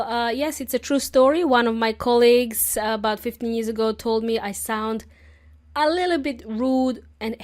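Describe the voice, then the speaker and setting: A woman hosting an English-learning podcast, talking chattily and laughing often, her voice hoarse from a cold. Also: on the high side. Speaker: podcast host.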